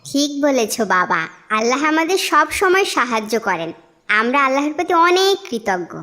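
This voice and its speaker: A woman's voice: high-pitched, very animated, normal speed, bright, forceful tone, full character voice. A woman voicing a devout, caring young village girl in a cartoon story, earnest and pleading. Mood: grateful, earnest.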